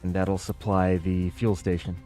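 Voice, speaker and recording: A man giving casual, musing commentary while playing a building game, thinking aloud with relaxed asides. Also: sits low, moderate pace, soft background music. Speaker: gaming video creator.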